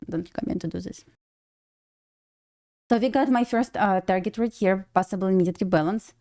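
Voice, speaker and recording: Woman, Russian accent, mellow warm voice, casual livestream commentary, thinking aloud. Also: mid-range voice, a little fast. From Belarus. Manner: relaxed, thinking aloud, reading chat. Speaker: day-trading livestream host. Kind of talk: casual live-stream commentary.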